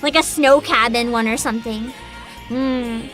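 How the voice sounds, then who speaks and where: A woman's voice: on the high side, steady tone, unhurried, a bit bright, soft background music, low-quality recording. A woman hosting a livestream, chatting casually and reading bits aloud in a bubbly, enthusiastic manner. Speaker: virtual streamer host.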